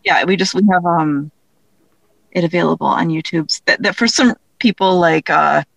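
A woman speaking as an interview guest in casual conversation, thinking aloud in a halting way. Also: fairly low pitch, gravelly, fairly bright tone, no echo, rough recording. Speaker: guest, audio drama creator.

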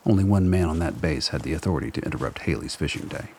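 A man's voice: rumbling low, lively intonation, quick pace, a little mellow, a little hiss or hum. A man narrating a scripted radio drama as a storyteller.

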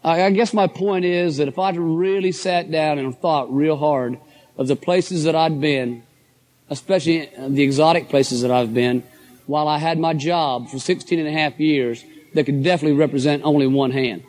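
A middle-aged man with a hoarse voice, giving an enthusiastic, folksy motivational talk to a live audience. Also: on the high side, a little mellow. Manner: folksy, enthusiastic, addresses crowd as guys and folks. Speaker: motivational speaker at a business convention.

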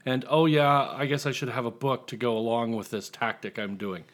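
A man's voice: mid-range voice, steady tone, moderate pace. A man speaking as a podcast guest in casual interview chat, explaining things reflectively. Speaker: podcast guest, writer and marketer.

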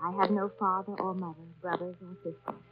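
An actress playing a grave, reserved young woman, narrating her life in measured 1930s radio drama style. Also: fairly low pitch, level tone, lightly acted. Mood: somber, restrained. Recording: muffled vintage 1930s radio recording. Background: music.

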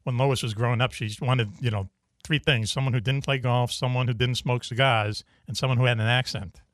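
A man with a Boston accent hosting a radio show, bantering casually, jokey and quick to jump in. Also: medium pitch, fairly even intonation, a bit bright, no echo, clean studio sound. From Boston area. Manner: jokey, interrupting, steering the talk. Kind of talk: casual banter and interviewing guests.

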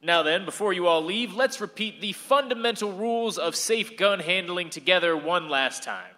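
Bright, declamatory gun-class instructor. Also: male voice, high voice, animated, normal speed. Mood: smooth, commanding. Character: glib, showy male gun-safety instructor. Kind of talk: radio play acting. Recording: reverberant hall sound.

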